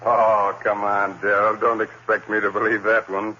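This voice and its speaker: An actor playing a skeptical, teasing friend in a 1940s radio drama. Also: male voice, fairly low pitch, little pitch movement, moderate pace, sharp tone. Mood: incredulous, teasing. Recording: muffled noisy old radio broadcast.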